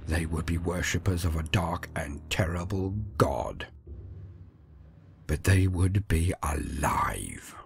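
A man narrating dark fantasy horror tales in a grave, theatrical manner, often dropping to hushed phrase endings. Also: low voice, slow, vocal fry. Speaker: storyteller narrator.

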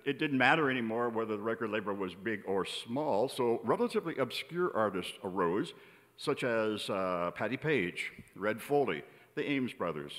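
An American man in his seventies announces from a theater stage with a lively, swinging intonation. He reads from notes in a measured, emphatic way, and his voice rings with the long reverb of a big hall. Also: lowish voice. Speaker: announcer introducing a stage show. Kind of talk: prepared stage introduction read from notes. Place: on stage in a large indoor theater. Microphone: vintage-style microphone on a stand.

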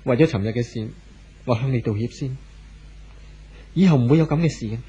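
An actor playing an anxious, devoted husband in a 1970s Hong Kong Cantonese radio drama, earnest and coaxing. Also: male voice, medium pitch, brisk, dark tone, breathy edge. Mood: apologetic, coaxing. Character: anxious, pleading, devoted wealthy young husband. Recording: dull vintage radio recording with music.

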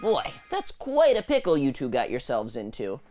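A man's voice: medium pitch, huge pitch swings, moderate pace, darkish tone. A young man playing a cocky, goofy schoolboy schemer in a kids' comedy, with a showy manner. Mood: smug, upbeat. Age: young adult. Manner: showy, self-satisfied.

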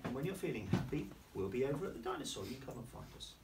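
A man voicing a patient father who calmly tells his daughter to sit there until she feels better, in a read-aloud story. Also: medium pitch, quick pace, distant mic, very noisy. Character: patient, weary dad.

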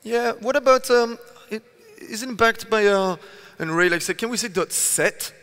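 Belgian-born guy co-presenting on stage, bright tense voice, kinda high, echoey hall. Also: normal speed, clean tone. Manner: chatty, many "you know" fillers. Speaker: conference co-presenter. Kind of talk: prompting questions in a tech talk. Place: large conference hall stage. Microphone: headset mic. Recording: long hall reverb.

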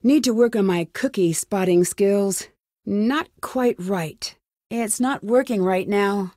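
A curious young American sleuth from an adventure game. She thinks aloud in short, wry, plucky remarks. The voice sits at a medium pitch and is recorded dry and close. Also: takes it slowly, dark tone, no room sound. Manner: wry, self-talking, crisp short remarks. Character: curious, plucky young female amateur detective. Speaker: voice actor in a detective adventure game. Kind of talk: video game character lines.

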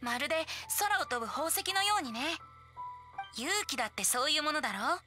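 A woman's voice: high voice, lively intonation, brisk, pushed and bright, faint music behind. A woman voicing a youthful, playful boy bard with a poetic, persuasive way of talking in an anime game. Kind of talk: Japanese anime game voice acting.

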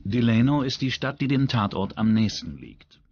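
A man's voice: mid-range voice, very animated, normal speed, darkish tone, lo-fi sound, a little hiss or hum. A man reading scripted true-crime documentary narration as the narrator. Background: background music.

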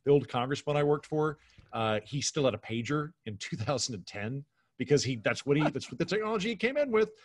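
A man's voice: a little high, fairly quick. A middle-aged man chatting casually as a podcast guest, telling comic anecdotes and acting out the exchanges in them. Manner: animated storytelling, acting out quoted exchanges. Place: home bedroom, indoors. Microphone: video call.

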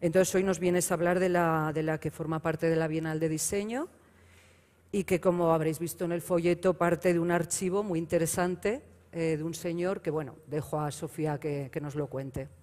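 A woman's voice: sits low, steady tone, normal speed, a little mellow. Woman moderating a panel in a reverberant hall. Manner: listing points, brief self-corrections. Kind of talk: moderator introduction. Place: large hall on stage. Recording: long reverb in big hall.

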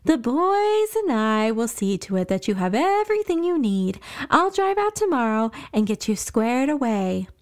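A woman voicing a burly, warm, jolly shopkeeper grandmother of seventy with a folksy, endearing way of talking in a scripted audio story. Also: a little high, big pitch swings, normal speed, hardly any pauses, pitched above the performer's own voice. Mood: hearty, reassuring. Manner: folksy, uses old-fashioned endearments like lass and dearie.

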